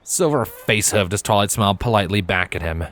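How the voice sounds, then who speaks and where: A man reading a story aloud as narrator, in an expressive scripted storytelling style. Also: fairly low pitch, fast.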